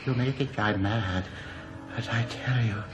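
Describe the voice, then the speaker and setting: An actor playing a mad, possessive husband in a radio drama, theatrical, taunting and insinuating. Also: male voice, lowish voice, measured pace, over music, natural voice. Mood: taunting, manic.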